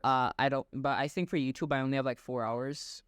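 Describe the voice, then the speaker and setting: A young host from Bangladesh who speaks at a mid pitch. He chats in a relaxed way and thinks aloud as he goes. The sound is dry and close, with no room echo. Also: brisk, clear, resonant tone. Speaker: podcast host. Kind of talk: casual online interview chat.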